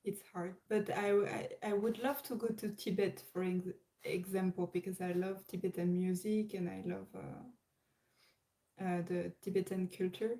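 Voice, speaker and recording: A woman's voice: medium pitch, fairly even intonation, normal speed, mellow. A French woman with a French accent, chatting live to viewers and hesitating often with fillers and restarts. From France. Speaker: livestream host. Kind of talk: casual live chat.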